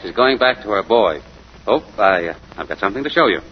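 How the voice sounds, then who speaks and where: A man's voice: on the low side, animated, fast talker, natural voice. A dull, faintly noisy vintage radio recording carries an actor as a genial, trusting husband. His mid-range voice bounces with hearty, chatty pride in 1940s radio drama manner. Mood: cheerful, hearty. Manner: hearty, chatty, boastful about his baby. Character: genial, unsuspecting husband and proud young father.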